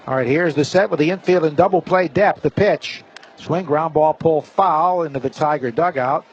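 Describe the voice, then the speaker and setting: A man working as a play-by-play announcer, giving live baseball commentary in the style of a radio broadcast. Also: mid-range voice. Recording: slightly dull broadcast, faint stadium noise.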